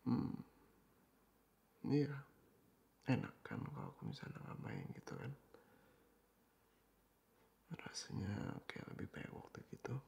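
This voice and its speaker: A young man in a boyfriend roleplay, talking softly and coaxingly in a half-whisper, dropping English phrases into his speech. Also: lowish voice, huge pitch swings, a little slow, gravelly, pauses often, slight background noise.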